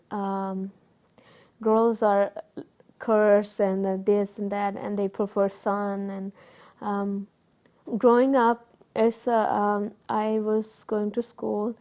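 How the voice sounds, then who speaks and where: A middle-aged woman with a Pakistani accent tells her own story in a flat, mellow voice. She talks earnestly but hesitantly, with many fillers and restarts. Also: mid-range voice. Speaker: guest telling her story. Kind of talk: personal testimony.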